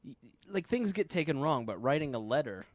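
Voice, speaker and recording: A man's voice: on the high side, sing-song, fairly quick, no room sound. A man hosting a podcast in informal chat, joking and speaking bluntly. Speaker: podcast host. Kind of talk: casual chat.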